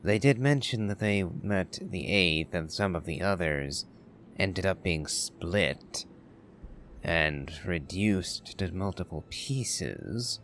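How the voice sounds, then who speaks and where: A man's voice: low-pitched, animated, slow pace, a bit bright, slight background noise. A man playing a story game, reading along and thinking aloud in a musing, casual commentary style. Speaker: game player.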